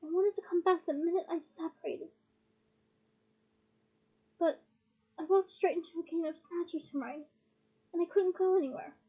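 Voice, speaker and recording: A woman's voice: very high voice, steady tone, moderate pace, pauses often, dark tone, dull audio. A woman voicing an agitated, defensive teenage boy wizard who protests and explains himself in a story reading. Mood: explaining.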